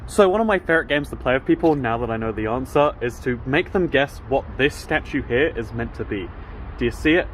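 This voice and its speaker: A man's voice: mid-range voice, a bit bright. A man vlogging through city streets and stations, making wry jokes to the camera on his phone. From England. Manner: wry, joking asides to the camera. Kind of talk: casual travel vlog commentary. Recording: phone recording with street noise.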